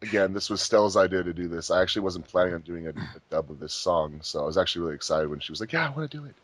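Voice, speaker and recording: A man's voice: low-pitched, animated, very fast, dry recording. A man hosting a group commentary track in a casual, chatty style. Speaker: host of a fan dub commentary track.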